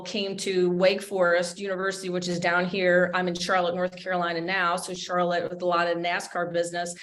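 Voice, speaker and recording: Panel guest: middle-aged woman, lowish voice, monotone, chatty with lots of fillers. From Michigan, USA. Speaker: motorsports lawyer, panel guest. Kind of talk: panel interview, recounting career.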